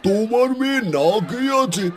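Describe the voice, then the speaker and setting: A man's voice: very high-pitched, expressive, moderate pace. A man playing a callous village customer in a cartoon, mocking and dismissive in manner. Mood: scornful.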